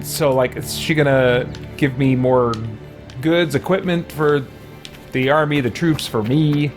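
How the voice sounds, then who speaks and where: Man casually thinking aloud while gaming. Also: medium pitch. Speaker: gaming video host. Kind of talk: casual let's-play commentary.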